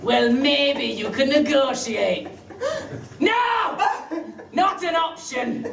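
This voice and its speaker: A woman voicing a gruff male Scottish clansman in a put-on Scottish accent, giggly. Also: high-pitched, very animated, drawn-out words, reverberant, distant mic, noisy background, exaggerated voice. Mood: mock-gruff.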